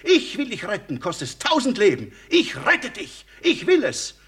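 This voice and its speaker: A man's voice: high voice, huge pitch swings, moderate pace, a bit bright, husky, heavily acted. A man playing a comedian who declaims an impassioned tragic lover in a comic German radio play, overwrought and theatrical. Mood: ardent, desperate.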